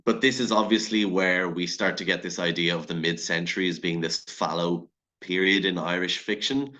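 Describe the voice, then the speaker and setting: A man giving a measured, formal and articulate academic talk. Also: deep voice, steady tone, pushed and bright. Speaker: academic lecturer.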